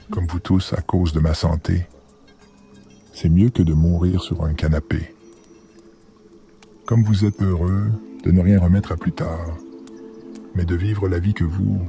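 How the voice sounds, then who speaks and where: A man playing an ailing, earnest grandfather in his seventies in a cartoon, speaking reflectively in a frail, gravelly voice. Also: low voice, dark tone. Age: 70s. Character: weary, ailing old grandfather, regretful and earnest. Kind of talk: animated drama acting in French.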